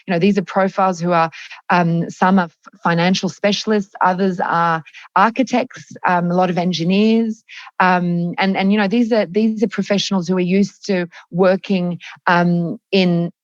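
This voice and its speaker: A woman's voice: lowish voice, fairly even intonation, moderate pace, no room sound. Hesitant woman on a dull, dry call. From France. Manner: hesitant, many ums and restarts. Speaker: guest, company chief executive. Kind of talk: conversational interview answers.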